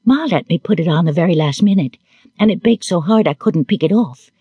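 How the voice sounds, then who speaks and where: Storybook role: sweet little girl who likes taking charge, lifted pitch played by a male reader, husky edge. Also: lively intonation, brisk, no echo, lo-fi sound. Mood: lively. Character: Betty, sweet little girl, doting doll-mother, commanding.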